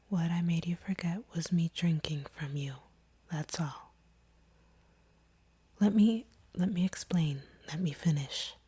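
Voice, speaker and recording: A woman playing a guarded, wounded vampire in an intimate audio roleplay, speaking softly and haltingly in a breathy near-whisper. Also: lowish voice, heavily acted. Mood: earnest, tender. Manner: slow, hesitant, halting delivery. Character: guarded, wounded female vampire confessing feelings.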